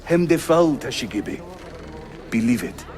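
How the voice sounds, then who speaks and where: A man's voice: medium pitch, animated, normal speed, a little mellow, some chatter behind, natural voice. A male actor playing a spirited, teasing Ottoman assassin leader in a video game, with a jovial, theatrical manner. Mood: grave, briefing.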